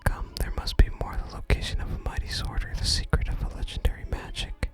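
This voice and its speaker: A person voicing a haughty, grasping villain from a fantasy game in a pompous, archaic, breathy ASMR whisper. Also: fast talker, music in the background, natural voice. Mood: scheming, frustrated.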